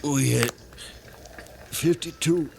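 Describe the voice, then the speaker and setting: An actor playing a badly wounded, dazed wagon-train survivor in a 1950s western radio drama, gasping out broken phrases. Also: male voice, a little high, slow pace, creaky. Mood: dazed anguish. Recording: noisy 1950s radio recording.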